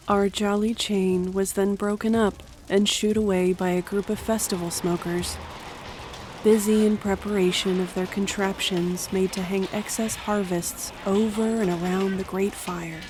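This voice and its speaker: A woman playing a solemn guardian who narrates her own memories in deliberate, descriptive first person. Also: mid-range voice, a little mellow, slight background noise, natural voice.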